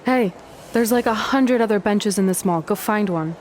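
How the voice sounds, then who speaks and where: A woman's voice: medium pitch, moderate pace, a little mellow, lightly acted. Blunt female wrestler friend, actress, game cutscene: sarcastic, exasperated, light noise behind. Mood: annoyed, dry. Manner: sarcastic, no-nonsense.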